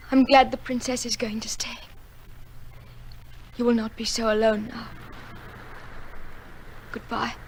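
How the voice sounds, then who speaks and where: A woman playing a shy, tender young fisher girl, soft and earnest, in old-time radio drama style. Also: fairly high pitch, slight background noise, low-quality recording. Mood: torn, sad.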